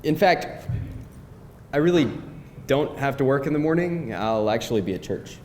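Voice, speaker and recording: A man's voice: mid-range voice, lively intonation, normal speed, a little mellow, lightly acted. An actor playing a quirky, dryly teasing man on a date in a live screenplay table read. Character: quirky atheist man on a date, secretly devoted churchgoer. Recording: echoey live room, faint noise.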